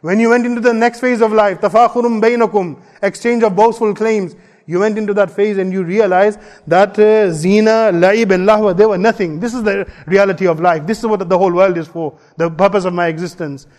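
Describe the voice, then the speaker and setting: A man's voice: high-pitched, steady tone, fairly quick, darkish tone. A man, the imam, giving an earnest Friday sermon in a mosque, repeating key phrases and quoting Arabic verses. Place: mosque prayer hall. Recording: long hall reverb.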